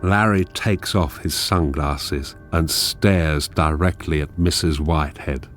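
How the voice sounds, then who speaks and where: Suspenseful storyteller for radio drama; deep register, unhurried scripted narration, music beneath. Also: male voice, steady tone, a bit bright, full character voice.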